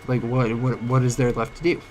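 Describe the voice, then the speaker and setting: A young man with a cold and a cracking voice, talking about his life in a halting, rambling way full of fillers. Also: mid-range voice, flat delivery, darkish tone, a little hiss or hum, rough recording. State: sick, voice cracking. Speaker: vlogger. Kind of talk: late-night personal talk. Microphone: home microphone, uneven volume.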